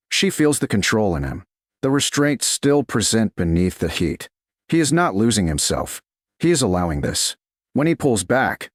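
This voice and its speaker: A man narrating a scripted romance audiobook in short, deliberate, dramatic phrases. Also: lowish voice, no room sound, studio quality.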